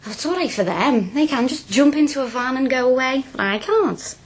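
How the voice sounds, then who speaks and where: An actress playing a cheeky, eager young working-class student with a Liverpool accent, chatty and blunt, in a radio drama. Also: female voice, sits high, lively intonation, a little fast. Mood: animated, chatty.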